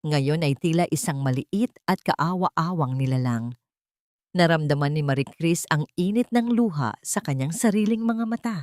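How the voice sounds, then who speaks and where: A woman's voice: low-pitched, expressive, moderate pace, darkish tone, no echo, studio quality. A woman reading a scripted, dramatic audio story as its narrator.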